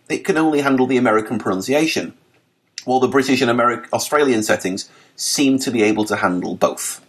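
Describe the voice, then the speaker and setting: A man narrating a written article for a podcast, reading with a lively, articulate delivery and dry wit. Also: mid-range voice.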